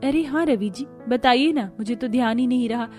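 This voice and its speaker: A woman's voice: a little high, a little fast, darkish tone, faint music behind, pitched above the performer's own voice, lightly acted. A woman voicing a thrifty, earnest young daughter-in-law in a cartoon family story. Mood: eager.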